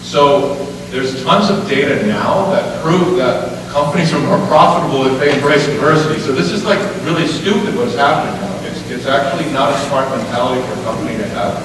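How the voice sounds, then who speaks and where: A man's voice: mid-range voice, fairly quick, background noise. Man speaking far off in an echoey hall. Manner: informal, thinking aloud with fillers, asks the room questions. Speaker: panel speaker. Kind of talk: casual talk to an audience. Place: event hall.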